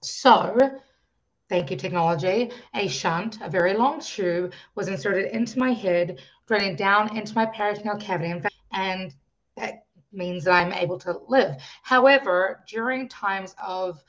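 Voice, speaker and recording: A woman's voice: medium pitch, moderate pace. New Zealand woman, dull lo-fi sound. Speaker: podcast guest, musician and youth coach. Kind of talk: conversational personal storytelling in an interview.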